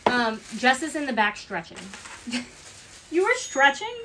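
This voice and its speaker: A woman's voice: high-pitched, sing-song, measured pace, noisy background. A woman with an Italian-American Bronx accent, chatting casually while packing orders on a livestream and reading out comments. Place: small business office.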